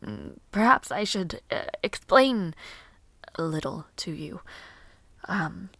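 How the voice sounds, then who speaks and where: A woman playing a caring, easily flustered young noblewoman in a fantasy audio roleplay, soft-spoken, hesitant and politely formal. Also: on the low side, very animated, slow, no echo, full character voice. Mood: gentle, hesitant.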